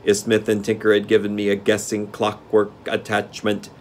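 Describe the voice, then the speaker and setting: A man voicing a loyal, plain-thinking machine man in a measured, matter-of-fact storybook manner. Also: fairly low pitch, monotone, a little mellow, a little hiss or hum, natural voice. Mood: resigned.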